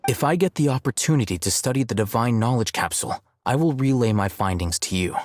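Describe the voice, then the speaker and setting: An actor playing a cool, calculating young male scholar in a video game, speaking in a measured, articulate, detached way. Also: medium pitch, lively intonation, no echo, clean studio sound, natural voice. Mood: cool, assured. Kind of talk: English video game voice acting.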